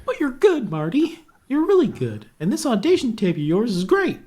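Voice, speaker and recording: An earnest, supportive teenage girl, read by a woman at a table read. The pitch moves around in a lively, animated way. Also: moderate pace, husky, heavily acted. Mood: encouraging. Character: supportive seventeen-year-old girlfriend, encouraging and earnest.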